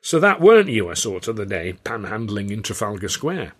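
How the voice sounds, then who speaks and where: A man's voice: fairly low pitch, very animated, brisk, fairly bright tone, breathy edge, heavily acted. A man voicing a sly, overbearing older theatre boss, falsely genial and wheedling in a storybook reading. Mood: probing, sly. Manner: wheedling, pointed, colloquial bargaining.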